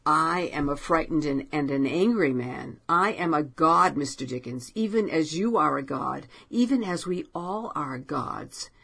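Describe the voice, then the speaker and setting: A woman's voice: sits low, lively intonation, measured pace, creaky, hardly any pauses, a bit bright, slightly rough, heavily acted. A woman voicing a grim, frightened and angry male writer in a storybook reading, pleading urgently. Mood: impassioned. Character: grim, brooding male writer, driven and bitter.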